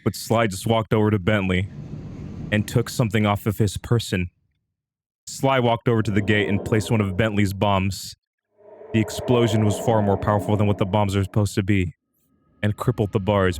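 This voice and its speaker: Male livestream narrator, fairly low register, reading amateur horror fiction aloud, slight noise behind. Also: little pitch movement, moderate pace. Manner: breaks off into amused asides.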